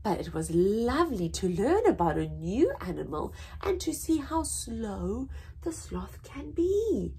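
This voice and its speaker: A young woman hosting a children's story time, reading aloud in an animated, deliberate way with drawn-out emphasis. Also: a little high, husky. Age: young adult. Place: small indoor room with painted backdrop.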